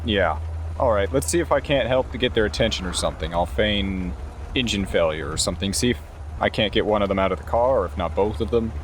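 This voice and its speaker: A man's voice: lowish voice, quick pace, slight background noise. A man playing a tabletop roleplaying game, talking casually as his character and describing what he does.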